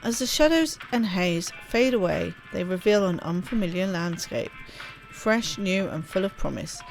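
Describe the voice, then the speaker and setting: A woman's voice: lowish voice, lively intonation, normal speed, vocal fry, poor audio. A woman reading a game's story text aloud expressively, with casual spontaneous asides as she plays. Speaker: let's play host reading game text aloud. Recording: music behind the voice. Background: game music.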